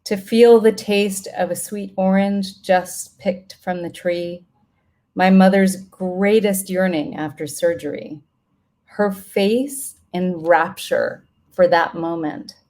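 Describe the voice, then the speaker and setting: A middle-aged woman reads a reflective personal letter aloud in a solemn, tender, measured way. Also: lowish voice, steady tone, darkish tone. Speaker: writer reading own letter aloud.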